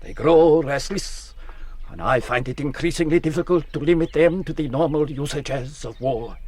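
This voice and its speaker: An actor playing a courteous, shrewd French general, formal and persuasive with quiet menace, in a period radio drama. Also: male voice, a little high, normal speed, lightly acted. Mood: quietly menacing.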